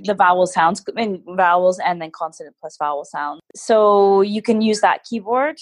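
A Canadian woman, a guest in an interview, explaining things patiently in casual chat, modest and quick to laugh at herself. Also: mid-range voice, no room sound. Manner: self-deprecating, laughs often, explains patiently.

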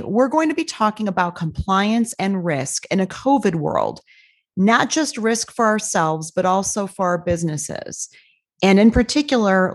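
A woman hosting a business and law podcast, reading a guest's long introduction in a measured, formal way. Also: mid-range voice. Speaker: podcast host.

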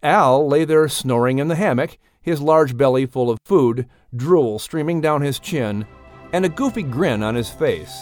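A man narrating a children's story in an expressive style as an audiobook reader. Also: medium pitch, measured pace, no echo, soft background music.

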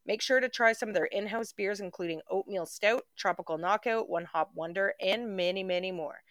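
A woman's voice: medium pitch, a little fast, a bit bright, dry recording. A playful woman co-hosting a podcast, laughing often. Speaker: podcast co-host. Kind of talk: casual chat.

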